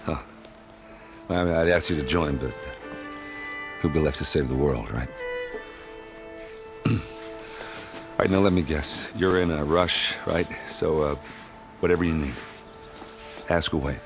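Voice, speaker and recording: An actor playing a gruff, world-weary warrior captain in a video game, laid-back and wry. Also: male voice, rumbling low, a little slow, pauses often, music in the background. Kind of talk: video game character acting.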